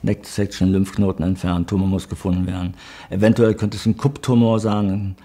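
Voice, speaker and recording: A man's voice: low-pitched, level tone, a little fast, mellow. A man in his fifties telling his cancer story in a plain, matter-of-fact way. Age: 50s. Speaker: cancer patient. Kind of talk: personal testimony.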